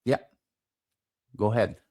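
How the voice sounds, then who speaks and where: A man's voice: unhurried, mellow. Romanian-accented man, wry podcast co-host. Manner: skeptical, wry asides. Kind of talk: casual podcast discussion.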